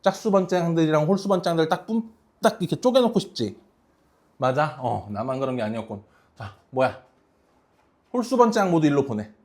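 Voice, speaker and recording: A math teacher with a mid-pitched voice leads a class through worked problems. The delivery is informal and conversational, full of short questions to students to check that they are keeping up. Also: big pitch swings, fairly quick, pauses often, a little mellow. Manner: casual, informal, checks understanding often.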